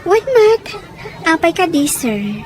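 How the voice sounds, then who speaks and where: A woman's voice: very high-pitched, big pitch swings, slow pace, full character voice. An actress playing a sympathetic female friend with a Filipino accent, earnestly explaining hardship in a melodramatic audio drama. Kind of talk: melodramatic Filipino radio-style drama acting. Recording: crowd noise behind the voice.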